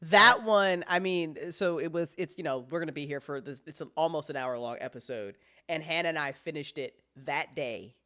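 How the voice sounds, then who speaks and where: A woman's voice: low-pitched, normal speed, hardly any pauses, pushed and bright. A woman chatting casually as a show's creator, rambling, swearing freely and repeating herself for emphasis. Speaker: show creator and editor. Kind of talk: casual, unscripted live chat.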